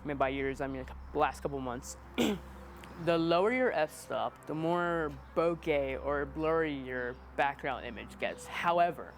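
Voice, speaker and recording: A man's voice: fairly high pitch, a little slow, a little hiss or hum. A man of twenty-one chatting casually in a rambling, self-deprecating, playfully joking way. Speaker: interviewee, amateur videographer. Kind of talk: casual chat.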